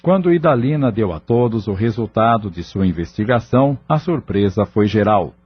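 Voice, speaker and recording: A man narrating a scripted audio novel in a measured, dramatic storytelling manner. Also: lowish voice, lively intonation. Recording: muffled, lo-fi track with music. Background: clear background music.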